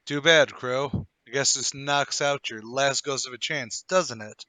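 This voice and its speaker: A man plays a vengeful gentleman in a mystery radio play. The voice is bright and sharp, close and dry, with an accusatory, impatient push behind it. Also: medium pitch, unhurried, no room sound. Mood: angry, accusing. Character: bitter, impatient gentleman demanding vengeance for his murdered brother.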